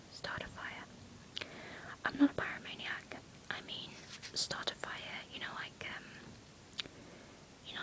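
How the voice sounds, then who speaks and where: A woman in her twenties whispering fast through her journal as a vlogger, recorded on an iPod. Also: low-quality recording. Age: 20s. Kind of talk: whispered journal show-and-tell.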